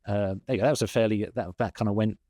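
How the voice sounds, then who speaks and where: A fairly low-voiced man with a British accent talks through his answers in a loose, meandering way, poking fun at himself and laughing along. The sound is dry and close, with almost no room around it. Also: steady tone, rapid-fire, mellow, dry recording. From England. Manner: rambling, self-deprecating, laughs often. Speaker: guest, SEO consultant. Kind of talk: conversational interview answers.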